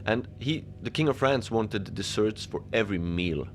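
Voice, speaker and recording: A man playing a lonely, gentle Antarctic facility manager, rambling hesitantly and apologetically in a cozy fiction podcast monologue. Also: lowish voice, lively intonation, moderate pace, a little hiss or hum, close to an everyday voice. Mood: admiring storytelling. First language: Norwegian. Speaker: podcast narrator.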